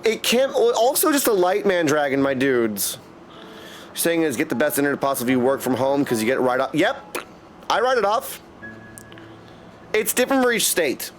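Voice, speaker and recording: Male streamer, high voice, very animated intonation, friendly casual chat, gameplay livestream. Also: normal speed, long pauses, slight background noise. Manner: friendly, chatty, greets viewers by name. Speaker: game streamer. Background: music.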